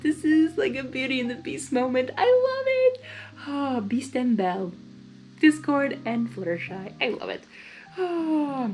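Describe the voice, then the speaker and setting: High-voiced woman reading a story expressively. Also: measured pace, soft background music. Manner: expressive, giggly asides. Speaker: fan fiction reader. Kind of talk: story narration, blind reading with reactions.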